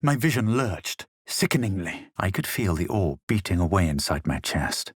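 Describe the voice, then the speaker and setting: A haughty male adventurer from a fantasy video game. His voice sits low and slightly raspy, with a clipped, dramatic air of superiority. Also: big pitch swings, normal speed, no echo, studio quality, heavily acted. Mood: grave narration. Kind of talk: video game voice lines and narration.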